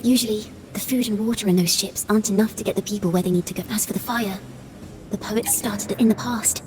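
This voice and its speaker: A woman's voice: medium pitch, steady tone, fast, over music, lightly acted. An actress playing an earnest young songwriter in a video game, confiding her family's secret in scripted monologue. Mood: wistful.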